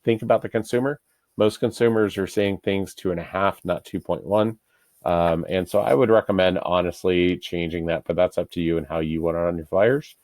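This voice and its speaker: Deep-voiced American man giving a casual tutorial. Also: steady tone, moderate pace, darkish tone, no echo, clean studio sound. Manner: informal, step-by-step instructing. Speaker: real estate broker giving a software tutorial. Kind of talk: casual screen-share tutorial.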